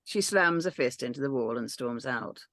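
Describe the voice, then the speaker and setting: Narrator for a script reading: woman, gravelly edge, dry close sound, steady and matter-of-fact. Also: rumbling low, moderate pace, no echo. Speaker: narrator reading stage directions. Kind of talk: script read-through narration.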